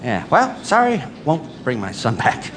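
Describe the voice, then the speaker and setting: Intense grieving father, swinging wildly in pitch. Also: huge pitch swings, noisy background. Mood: bitter, numb. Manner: intense, theatrical, halting repetitions. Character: grief-maddened father and obsessive scientist, desperate, brooding. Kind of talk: live audio drama acting with monologue narration. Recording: live stage recording with music underneath.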